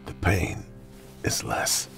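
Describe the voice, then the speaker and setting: A man acting a gravely ill, tender father who struggles to breathe, weak and gravelly. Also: slow pace, a bit bright, soft background music. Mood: weak, pained. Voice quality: gravelly, breathy near-whisper.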